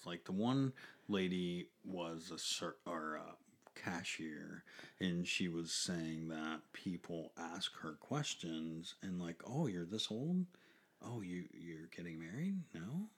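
A man's voice: low voice, unhurried. A man in his forties chatting casually as a co-host, rambling and filling his talk with "you know" and "like". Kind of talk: casual chat.

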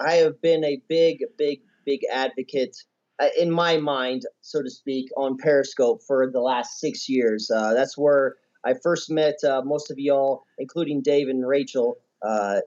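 A man's voice: medium pitch, measured pace, darkish tone, dull audio. An American man in his thirties from the Midwest, chatting casually as a podcast guest. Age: 30s. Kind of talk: casual chat.

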